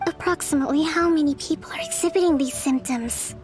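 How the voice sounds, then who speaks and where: Woman as a very high-voiced young female commander. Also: over music, natural voice. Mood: concerned. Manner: measured, formal, commanding. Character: composed, decisive young female resistance leader. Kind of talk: video game voice acting.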